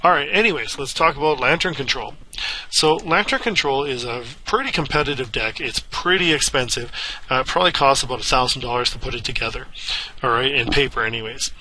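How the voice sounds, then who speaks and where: A man with a raspy, hoarse voice from a lingering cough, casually explaining a card game deck as a channel host, with frequent "okay" and "right" check-ins. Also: medium pitch, brisk, sharp tone, slight background noise, low-quality recording. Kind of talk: casual deck explanation over screen capture.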